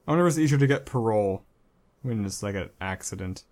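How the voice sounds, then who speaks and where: A man's voice: fairly low pitch, animated, fast, a little mellow. Rambling, storytelling man podcast co-host. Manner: rambling storyteller, drops into playful put-on voices. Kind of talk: casual chat.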